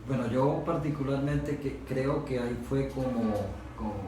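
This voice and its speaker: Halting Venezuelan man in very echoey room. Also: medium pitch, dark tone. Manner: halting, searching for words. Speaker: panel guest. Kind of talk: informal analytical discussion. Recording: very echoey room, faint noise.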